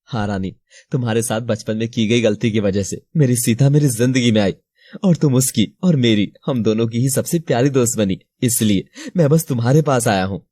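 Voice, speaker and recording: A man's voice: medium pitch, moderate pace, mellow, no echo, natural voice. A man acting a remorseful young married man in an audio story, speaking in an anguished, imploring, dramatic way. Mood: troubled. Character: remorseful married young man pleading with his childhood love.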